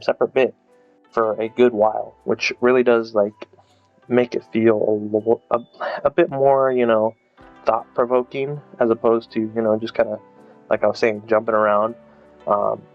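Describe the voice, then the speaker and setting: A man's voice: mid-range voice, gravelly. A man giving a casual, unscripted review as a video creator, rambling and hesitant with many fillers. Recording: slightly dull, lo-fi voice track. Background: faint music.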